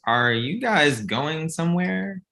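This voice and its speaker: A man acts out a naive son in his thirties, earnest about Christmas and a little whiny. The voice is bright and forceful, and it swings up and down freely through the comedy script. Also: on the high side, lively intonation, slow pace, vocal fry, full character voice. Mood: aggrieved, whiny. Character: naive thirty-six-year-old son, childishly devoted to Christmas and Santa. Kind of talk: comedy script table read.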